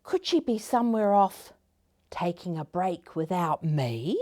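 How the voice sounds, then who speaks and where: A woman's voice: fairly low pitch, expressive, unhurried, dark tone. A woman voicing a lazy, whiny male caterpillar in a children's storybook, fussy and exclamatory. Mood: self-justifying.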